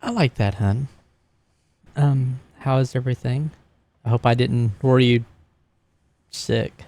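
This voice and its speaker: Man playing a sheepish, sweet young woman, creaky. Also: medium pitch, fairly even intonation, measured pace, darkish tone, lightly acted. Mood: affectionate, reassuring. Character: sheepish, affectionate young woman reassuring loved ones by phone.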